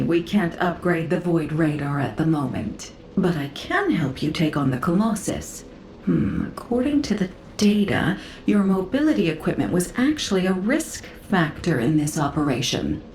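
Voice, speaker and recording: Wry researcher, analytical, slightly low voice, faint music behind, video game. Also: female voice, darkish tone, roomy sound. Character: coolly wry female equipment researcher.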